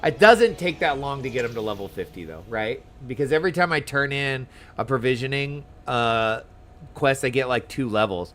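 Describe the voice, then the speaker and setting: A man's voice: mid-range voice, lively intonation, moderate pace, a bit bright. A man chatting casually while live streaming a game, giving loose commentary as he plays. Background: game music.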